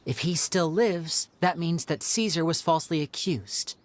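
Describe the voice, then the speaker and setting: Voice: medium pitch, measured pace, close to an everyday voice. An actor playing a polite, composed young male magician in a video game, courteous and reassuring as he explains things. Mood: polite, earnest.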